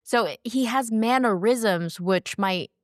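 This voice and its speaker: A woman's voice: on the high side, takes it slowly, no room sound, clean studio sound. A woman co-hosting a podcast, casually chatting about a movie with playful, incredulous rhetorical questions. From based in Portugal. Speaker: podcast co-host. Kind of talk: casual chat reviewing a movie.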